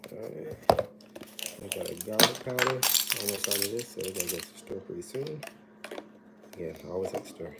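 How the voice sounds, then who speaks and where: A slightly hoarse man in his thirties chats easily through a cooking session, giving advice in a relaxed, friendly way. His voice sits a little low. A loud air-conditioning hum fills the kitchen behind him. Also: moderate pace, long pauses. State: slightly hoarse, recovering from illness. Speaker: nutrition coach. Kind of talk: casual live cooking chat. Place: home kitchen, indoors. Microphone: phone camera at arm's length, earbuds.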